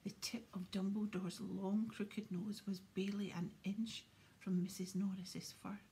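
A woman reading a story aloud in a soft, unvoiced whisper. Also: medium pitch, little pitch movement, a little slow, a little hiss or hum. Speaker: audiobook reader.

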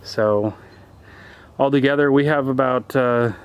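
A man's voice: mid-range voice, measured pace, smooth, highs cut off. Plain-spoken man vlogging outdoors. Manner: relaxed, plain-spoken, thinks aloud with fillers. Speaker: homesteader giving a property tour. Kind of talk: casual walking tour vlog. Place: outdoors and in farm buildings. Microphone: handheld camera mic, filming himself.

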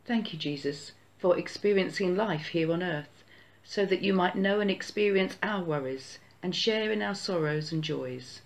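A church prayer leader, slowly reading a solemn Easter prayer. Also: mid-range voice, gravelly. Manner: slow, measured, solemn reading. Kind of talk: read-aloud Easter prayer.

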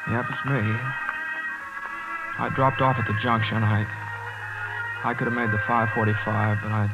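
An actor playing a hot-headed but good-hearted young working man in a 1940s radio drama, halting and stammering as he talks. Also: on the low side, fairly even intonation, dull audio, close to an everyday voice. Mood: tender, wistful. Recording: 1940s radio broadcast with loud music.

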